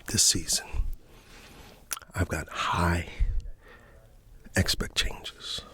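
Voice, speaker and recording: A man giving a soft-spoken, partly whispered ASMR ramble in a folksy, halting, repetitive way. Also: low voice, level tone, husky. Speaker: ASMR host.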